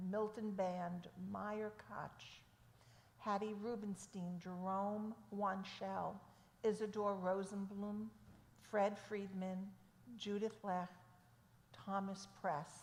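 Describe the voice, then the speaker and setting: Woman, service leader, slow measured delivery, echoey sanctuary, long reverb. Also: medium pitch. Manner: slow, measured reading of names. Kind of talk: leading a synagogue service. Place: temple sanctuary.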